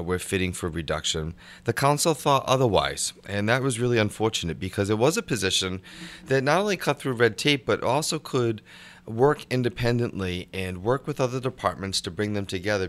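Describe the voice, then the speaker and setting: Very deep-voiced male mayor. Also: a bit bright. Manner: measured, official, explanatory. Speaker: mayor, radio guest. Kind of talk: radio interview answers.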